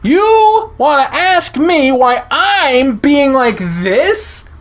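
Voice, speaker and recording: A man voicing a flustered, indignant young man who yells in shrill exasperation. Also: sing-song, very slow, exaggerated voice. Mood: outraged.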